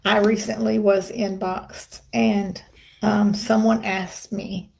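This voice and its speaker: A woman's voice: medium pitch, level tone, slow pace, gravelly, a little mellow, poor audio. A middle-aged woman from the United States talking casually to her viewers, chatty and emphatic, with rambling asides. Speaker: vlogger. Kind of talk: casual vlog monologue.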